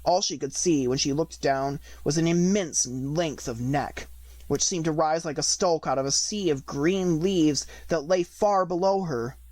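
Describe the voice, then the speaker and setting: A woman reading a storybook aloud as an audiobook narrator, in an expressive scripted style. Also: low voice, measured pace, no echo.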